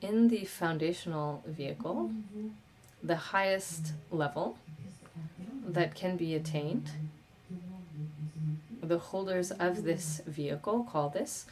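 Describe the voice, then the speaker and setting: A woman interpreting a question-and-answer session on a video call, speaking in a measured, halting way with pauses. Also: fairly low pitch, noisy background.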